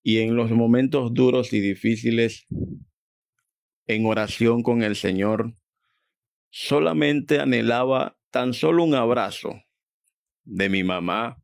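A man from Venezuela with a Venezuelan accent, giving a reflective personal faith testimony in measured, halting phrases. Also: medium pitch, gravelly. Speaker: church member giving a testimony.

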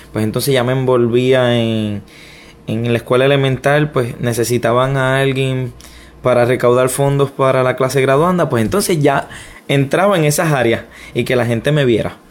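A Puerto Rican man in his young adult years recounts his life to an interviewer. His speech stumbles and restarts often. He pokes fun at himself as he goes. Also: medium pitch. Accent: Puerto Rican Spanish. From Puerto Rico. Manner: hesitant, frequent restarts, self-deprecating. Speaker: interview guest. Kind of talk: personal testimony interview.

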